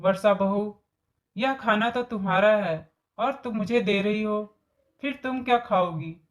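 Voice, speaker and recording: A woman voicing a meek elderly mother-in-law in a storybook tale, plaintive and gentle. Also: medium pitch, a little slow, natural voice. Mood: remorseful.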